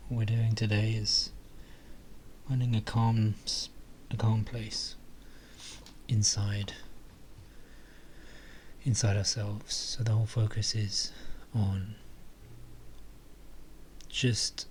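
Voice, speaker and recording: A man softly leading a guided meditation, calm and unhurried, with long pauses between phrases. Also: fairly low pitch, slight background noise.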